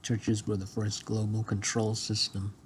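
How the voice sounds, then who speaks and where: A man reading scripted dramatic dialogue in a deliberate delivery, phrase by phrase. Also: on the low side, completely flat delivery, a little hiss or hum. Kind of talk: scripted dialogue read-through.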